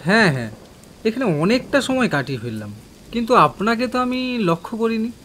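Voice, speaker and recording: Man playing an uneasy, high-pitched stranded traveller. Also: sing-song, fairly quick, a bit bright, a little hiss or hum. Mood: uneasy, polite. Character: uneasy, polite office worker stranded at a station. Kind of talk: audio horror drama acting.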